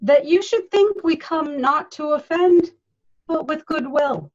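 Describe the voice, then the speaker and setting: Woman as slow, high, bumbling prologue speaker. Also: muffled recording. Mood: earnest, stilted. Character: earnest, bumbling amateur actor delivering a prologue. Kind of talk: halting Shakespearean prologue recitation. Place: remote video call, reading from home.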